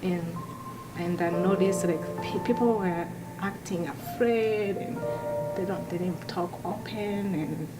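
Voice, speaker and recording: Young woman from rwanda, soft halting talk w lots of restarts, loud music bed under her. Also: on the low side, poor audio. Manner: soft-spoken, halting, with many restarts and fillers. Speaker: interviewee. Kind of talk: personal testimony interview.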